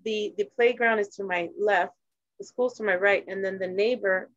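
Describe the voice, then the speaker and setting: A woman from Arizona talks in a halting, personal way, restarting her sentences and jumping into lively quoted voices. Her voice sounds dull and lo-fi, with no room around it. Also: medium pitch, no room sound. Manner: halting, restarts, animated when quoting others. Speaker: guest. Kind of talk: casual personal conversation.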